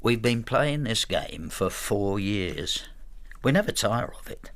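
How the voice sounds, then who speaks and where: An actor in a radio drama playing a plain-spoken working man in his sixties, telling his story in a measured, understated way. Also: lowish voice, very animated, vocal fry, dry recording, exaggerated voice. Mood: brooding, wry. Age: 60s. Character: retired working-class widower, plain-spoken, quietly bitter husband. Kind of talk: radio drama narration and dialogue.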